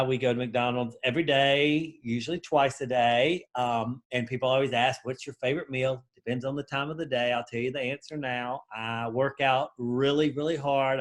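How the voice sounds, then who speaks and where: A man's voice: mid-range voice, steady tone, moderate pace, hardly any pauses, fairly bright tone. A middle-aged man from Memphis with a Southern accent, chatting as an interview guest in a friendly, self-deprecating way. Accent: Southern American. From Memphis, Tennessee. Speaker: guest, HR executive. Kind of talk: casual interview talk.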